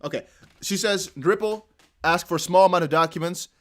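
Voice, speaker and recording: Dutch-accented man giving excited live commentary. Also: high voice, normal speed. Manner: excitable, chatty, laughs often. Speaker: crypto news streamer. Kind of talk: live commentary on a court hearing.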